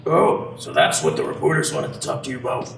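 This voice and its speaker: A man playing a scornful, miserly old banker in a radio play, sneering and bullying in a gravelly voice. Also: mid-range voice, sing-song, fairly bright tone, heavily acted. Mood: sly, mocking. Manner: sneering, drawn-out mock surprise. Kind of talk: audio-only radio play acting. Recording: echoey room, distant mic, faint noise.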